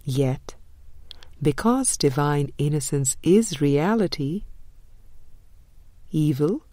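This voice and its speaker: Slow, mellow woman reading aloud. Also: low voice, animated, no room sound, studio quality. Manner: measured, deliberate, with frequent pauses. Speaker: audiobook reader. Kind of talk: scripted reading of a spiritual book.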